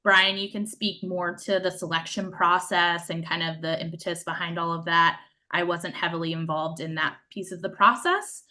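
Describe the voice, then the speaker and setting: A woman's voice: on the low side, steady tone, moderate pace, few pauses, sharp tone. A woman on city staff presenting a project update at a public meeting, talking conversationally with frequent um fillers.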